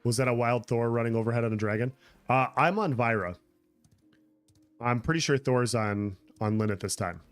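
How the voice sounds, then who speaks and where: A man's voice: mid-range voice, moderate pace, dry recording, soft background music. Chatty man streaming a game. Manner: chatty, reads and answers viewer comments. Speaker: livestreamer. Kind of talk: casual gameplay stream chat.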